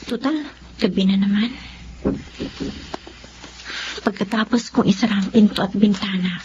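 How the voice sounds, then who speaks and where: A woman's voice: mid-range voice, steady tone, a little fast, close to an everyday voice. A woman with a Filipino accent playing a frightened, wronged young woman in anguish in a Tagalog radio drama. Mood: uneasy. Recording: dull lo-fi radio drama, clear noise.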